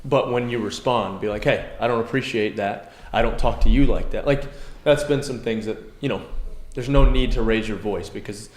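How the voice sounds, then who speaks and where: A man co-hosting a casual devotional talk, earnest and self-reflective, with a loud, carrying voice. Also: fairly low pitch, moderate pace, few pauses. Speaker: podcast co-host. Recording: echoey room with faint noise.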